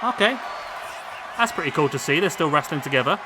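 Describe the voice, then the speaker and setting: A man commentating on a wrestling video game, losing his voice, chatty and excitable as he calls the action. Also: fairly high pitch, a little fast. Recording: loud game crowd noise behind. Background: video game crowd and arena sound.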